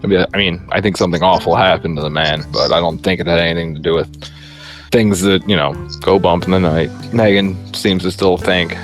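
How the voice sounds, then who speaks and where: A man's voice: sits low, fairly even intonation, a little fast, soft background music. A man playing a tabletop roleplaying game, talking casually in character on a video call. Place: home room, online video call.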